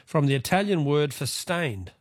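A man with an Australian accent hosts a live radio quiz, chatting loosely with callers in a jokey way. His voice sits a little high for a man, and the sound is close and dry. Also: big pitch swings, no echo. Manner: chatty, jokey, quick to chuckle. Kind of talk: live phone-in quiz chat.

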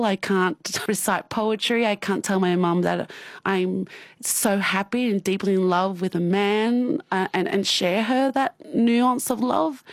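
A woman's voice: medium pitch, no room sound, clean studio sound. Australian woman, candid and laughing. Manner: candid, laughs often, many restarts. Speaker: interview guest. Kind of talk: personal interview.

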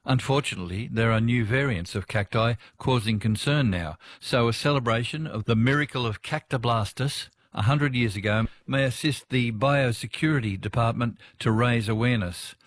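An Australian man presents as a radio host, telling stories and putting questions to guests. His medium-pitched voice sits in a very dry recording. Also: moderate pace, few pauses, no echo.

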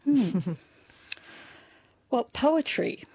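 A woman gives spoken voice to a deaf guest's signing, talking slowly and thoughtfully in the first person. The sound is close and dry, with no room around it. Her delivery is measured and unhurried. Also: high voice, very animated, vocal fry, darkish tone, husky, no room sound, low-quality recording. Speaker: Auslan interpreter voicing a deaf guest. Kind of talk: live spoken interpretation.

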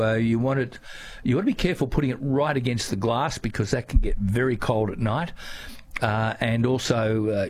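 An older man with an Australian accent, a gardening guest answering listener questions on radio in a relaxed, halting way. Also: medium pitch. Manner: relaxed, halting with many fillers.

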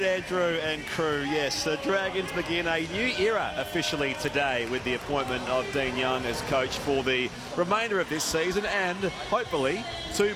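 Polished Australian rugby league reporter. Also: male voice, fairly high pitch. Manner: polished, informative scene-setting. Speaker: sideline sports reporter. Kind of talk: sports broadcast report.

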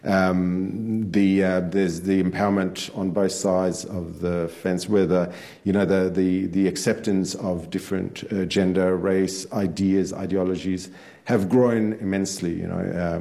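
A man speaking as a guest on a panel, halting and full of fillers and restarts. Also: deep voice, vocal fry, mellow. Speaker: author guest. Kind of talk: panel discussion. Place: on stage before an audience.

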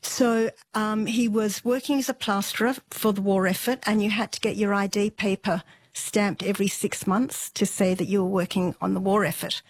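A woman's voice: mid-range voice, dry recording. Woman interviewee, dry close sound. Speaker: interview guest. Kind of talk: conversational family storytelling.

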